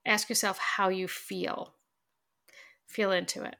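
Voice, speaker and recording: Bright-voiced woman, stuffy and nasal. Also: mid-range voice, little pitch movement, takes it slowly, vocal fry. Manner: chatty, many fillers and restarts. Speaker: self-help vlogger. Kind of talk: casual advice talk to camera.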